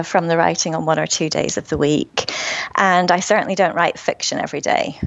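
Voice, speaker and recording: A woman with a British accent answers interview questions warmly, often breaking into a laugh. Her voice sits a little low, and the recording sounds close and dry. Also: no room sound, lo-fi sound. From Britain. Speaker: guest author. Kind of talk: casual interview.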